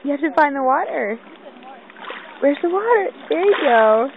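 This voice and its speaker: A woman's voice: high voice, animated, normal speed. A young woman off camera, filming her toddler at a lakeshore on a phone and coaxing her in a playful sing-song voice. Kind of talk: home video talk to a toddler. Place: outdoors at a lakeshore. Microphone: phone held by the camera operator. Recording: muffled phone audio with water noise. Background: lapping water and splashing.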